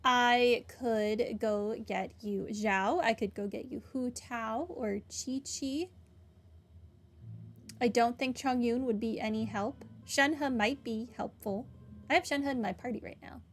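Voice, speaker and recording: A woman's voice: on the high side, fairly even intonation, slow. A woman hosting a playthrough, chatting casually and reacting aloud to the game. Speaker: gaming video host. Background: game music.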